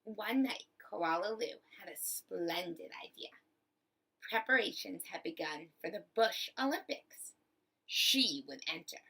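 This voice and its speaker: A woman reading a picture book aloud to children like a teacher, with expressive, deliberate phrasing. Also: fairly low pitch, gravelly, slightly rough, rough recording. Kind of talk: storybook read-aloud for children.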